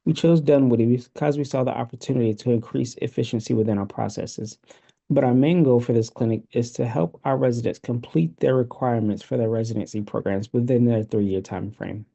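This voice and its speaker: A man presenting as a healthcare systems engineer, with a steady, scripted delivery. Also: medium pitch, vocal fry, muffled recording. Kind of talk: read presentation.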